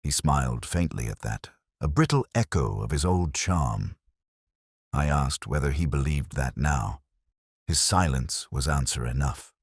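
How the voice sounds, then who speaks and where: Very deep, brooding detective narrator, voiced by a man. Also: expressive, breathy edge, no room sound, studio quality, exaggerated voice. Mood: grave, weary. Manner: measured, literary, solemn delivery. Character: brooding male detective inspector, melancholy and reflective. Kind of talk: scripted first-person mystery narration.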